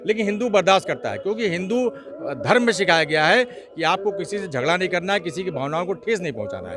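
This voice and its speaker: A man answering an interviewer as a local activist, making his case insistently and repeating phrases for emphasis. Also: sits high. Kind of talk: interview answers, argumentative explanation. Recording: clear crowd noise behind.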